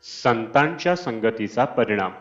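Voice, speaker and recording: Slow-paced man reading a devotional discourse. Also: fairly low pitch, lively intonation, a bit bright. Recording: dull lo-fi, Indian classical music behind. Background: Indian classical instrumental music.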